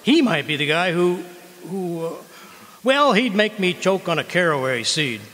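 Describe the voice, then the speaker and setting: A man acts out a jealous, bluffing husband in a vintage radio comedy. His voice sits high and swings widely, with a stammering, flustered bluster. A long hall reverb surrounds it. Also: sing-song, moderate pace, sharp tone, full character voice. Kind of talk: old-time radio comedy acting. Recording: hall reverb on a live stage.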